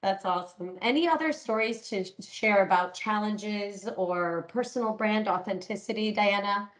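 A woman's voice: mid-range voice, normal speed, fairly bright tone, low-quality recording. Middle-aged woman hosting a panel. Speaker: podcast host and panel lead. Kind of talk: panel discussion on a call.

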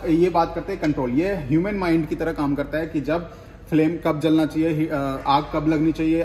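Young man, higher voice, casual step-by-step explainer; echoey workshop, faint hum. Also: darkish tone. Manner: explains step by step with many repetitions and restarts. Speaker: bakery equipment seller. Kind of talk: casual product demonstration. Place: workshop with ovens, indoors. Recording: echoey room, faint noise.

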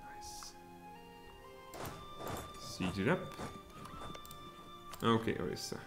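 A man in his thirties with a Czech accent, streaming a strategy game and thinking aloud, with sudden excited outbursts. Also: lowish voice, extremely slow. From Czech Republic. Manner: mutters thoughts aloud, then bursts out excitedly. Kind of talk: live strategy game commentary. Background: faint music and keyboard typing.

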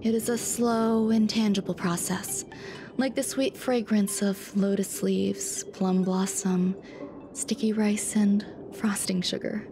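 A woman voicing an aloof, soft-spoken scientist in a video game, speaking in a calm, measured, detached way. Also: medium pitch, background noise. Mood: calm, secretive. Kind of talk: scripted video game voice acting. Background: game music.

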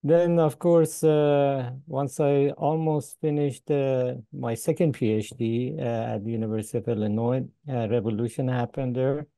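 An older man from Iran with an Iranian accent, a professor answering interview questions over a video call, pausing often with "uh" and chuckling between points. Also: medium pitch, steady tone, creaky, warm tone, no echo. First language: Farsi. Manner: halting, many "uh" pauses, chuckles between points. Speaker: guest professor. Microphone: video call with earbuds.

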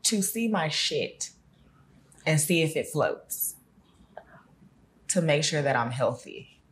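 An American woman in her thirties answers interview questions on a studio set. Her voice sits low and deep. She speaks softly and plainly, never fussing over what she says. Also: expressive, slow pace. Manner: matter-of-fact, low-key, soft-spoken. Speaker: interview guest. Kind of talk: casual interview.

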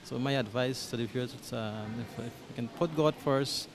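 A man's voice: medium pitch, normal speed, over music. A Guyanese man in his thirties answering interview questions in a small church hall, hesitant with many ums and restarts, speaking into a handheld mic. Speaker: parish coordinator. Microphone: handheld mic held by interviewer.

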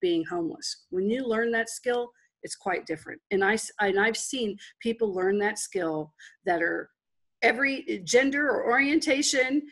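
Husky-voiced woman on a video call. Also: a little high, lively intonation, normal speed, fairly bright tone. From Texas. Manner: frank and rambling, with restarts. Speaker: business guest. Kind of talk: candid interview over video call. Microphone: headset, video call.

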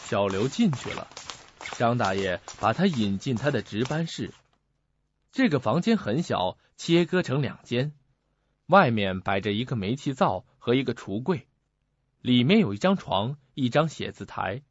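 A man's voice: medium pitch, big pitch swings, a little slow, highs cut off, slight background noise. A man narrating a suspense mystery radio drama in a dramatic, scripted style. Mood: suspenseful.

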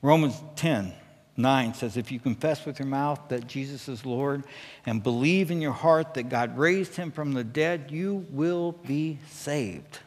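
A middle-aged American man delivers a conversational sermon from a church stage. His voice sits at an average pitch, and he speaks earnestly and with emphasis. Long reverb from the hall trails his words. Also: unhurried. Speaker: pastor. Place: church stage at a pulpit, indoors.

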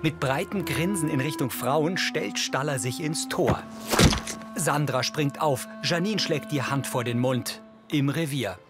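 A man reads scripted narration for a TV crime series as an audio describer, measured and matter-of-fact. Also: on the high side, loud music behind the voice.

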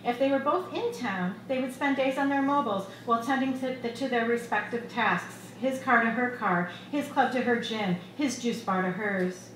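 A middle-aged woman reading her own short fiction aloud at a live event, in a measured, expressive storytelling delivery. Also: a little high. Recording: echoey bar room with loud background noise.

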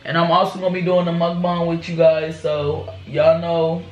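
A woman's voice: on the low side, monotone, moderate pace, a little mellow, highs cut off, a little hiss or hum. A young woman with a stuffed-up nose, chatting casually with her viewers as a reaction channel host, from a small bedroom. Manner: chatty, repeats "y'all" and "you know". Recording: echoey small room.

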